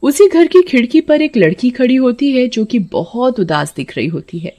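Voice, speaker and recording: A woman's voice: fairly high pitch, animated, moderate pace, warm tone. A woman narrator reading a scripted children's story.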